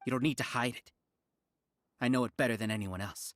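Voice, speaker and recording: An actor playing a proud, resentful scholar villain in a video game, with a husky voice and dramatic delivery. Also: male voice, mid-range voice, a little fast, no echo, close to an everyday voice. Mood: bitter, wounded. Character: proud, bitter defeated male scholar villain. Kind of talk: English video game voice acting.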